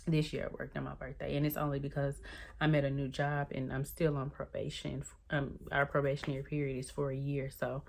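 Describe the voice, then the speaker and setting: Chatty low-voiced woman in her thirties. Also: fairly quick, creaky, slight background noise. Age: 30s. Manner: chatty, addresses viewers as y'all, lively swings in pitch. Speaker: vlogger. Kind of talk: casual chat to the camera.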